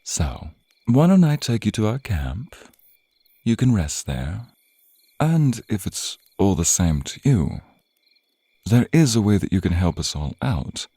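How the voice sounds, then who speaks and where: A man's voice: on the low side, very animated, measured pace, mellow, slightly rough, dry recording, heavily acted. A man voicing a suave, predatory vampire in an intimate ASMR roleplay, with a teasing, possessive, theatrical drawl and murmured asides. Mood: persuasive, possessive.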